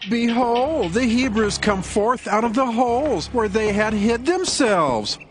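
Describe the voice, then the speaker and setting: An actor playing a scornful, menacing enemy garrison soldier in a dramatized audio Bible. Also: male voice, very high voice, lively intonation, measured pace, a little hiss or hum. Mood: mocking.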